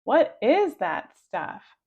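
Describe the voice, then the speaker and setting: A woman's voice: high-pitched, wildly swooping pitch, drawn-out words, vocal fry, slightly rough, highs cut off, pitched above the performer's own voice, full character voice. A woman voicing a clever, mischievous schoolboy who leads his friends in a children's storybook. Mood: scheming.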